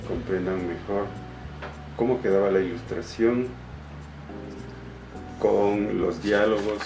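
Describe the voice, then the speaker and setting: An Ecuadorian man giving an informal, somewhat halting explanation of his work as an illustrator, often repeating words. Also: fairly low pitch, steady tone, creaky. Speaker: comic illustrator. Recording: roomy echo with music behind. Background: background music.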